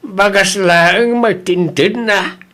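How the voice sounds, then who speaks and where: A man's voice: high-pitched, takes it slowly, bright, forceful tone, full character voice. A man playing an old father in an audio drama, nosy and complaining, with grumbling exclamations. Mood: reporting.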